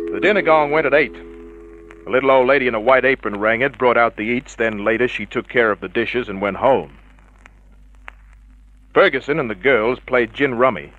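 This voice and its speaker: A man playing a wry, tough private eye, deadpan and wisecracking, in a hard-boiled radio drama narration. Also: mid-range voice, lightly acted. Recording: dull vintage radio drama recording.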